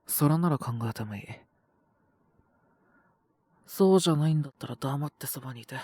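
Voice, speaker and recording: A man voicing a possessive, teasing young half-human wolf man with a cold, in an intimate romantic audio roleplay, breathy and rough-spoken. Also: a little high, moderate pace, a little mellow, no echo, lightly acted. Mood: teasing, sulky. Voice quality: husky, breathy, often near a whisper. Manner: languid, rough casual speech, teasing. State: sick with a cold, coughing (acted).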